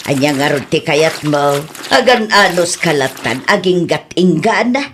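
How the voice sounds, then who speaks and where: A woman's voice: low voice, lively intonation, unhurried, background noise. A woman playing a caring mother with an Ilocano accent who gives patient advice in an audio drama. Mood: advising. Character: caring middle-aged mother, gently advising.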